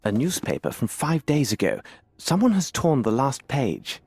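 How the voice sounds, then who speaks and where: An actor playing a shrewd, self-assured Victorian detective with a British accent in an adventure game, speaking precisely and formally as if musing aloud. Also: male voice, medium pitch, wildly swooping pitch, moderate pace, vocal fry, darkish tone, breathy edge, dry recording, exaggerated voice. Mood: musing, analytical. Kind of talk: scripted adventure game dialogue.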